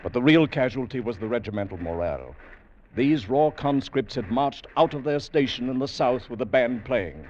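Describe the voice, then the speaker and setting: A man narrating an old radio adventure drama in a measured, dramatic manner. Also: mid-range voice, lively intonation, a little mellow. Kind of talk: scripted narration, 1940s radio. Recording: dull old radio broadcast with faint hiss.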